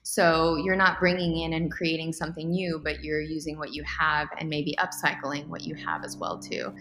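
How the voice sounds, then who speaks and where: A woman's voice: low-pitched, normal speed, background noise. Chatty young woman in echoey room. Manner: chatty, upbeat, rambling with fillers. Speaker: podcast guest. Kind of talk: casual interview answers. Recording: roomy echo with faint music.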